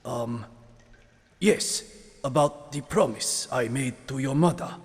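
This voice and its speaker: A gravelly old mutant rat master, voiced by a man, measured and gentle. His formal, fatherly words come slowly, with a long reverb around them. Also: medium pitch. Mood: uncertain, dignified. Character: wise, calm elderly mutant rat father and sensei. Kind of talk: dramatic audio drama acting.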